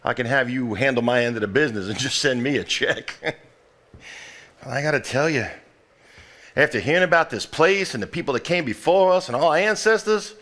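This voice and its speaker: Plain-spoken landowner: mid-pitched, folksy, sardonic, takes no nonsense. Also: male voice, creaky, fairly bright tone. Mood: reflective, wry. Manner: folksy, wry, drawn-out. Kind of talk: live stage play acting.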